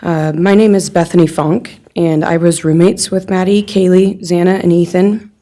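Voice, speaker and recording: A woman's voice: fairly low pitch, steady tone, moderate pace, warm tone. A young woman reading a written personal statement aloud in a courtroom on someone else's behalf. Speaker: friend reading a victim's statement on their behalf.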